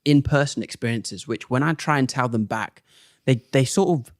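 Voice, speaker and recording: Dry-sounding man telling animated stories. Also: medium pitch, normal speed, no room sound. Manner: animated storyteller acting out other people's lines. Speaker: podcast guest, comedian. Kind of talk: casual chat, storytelling.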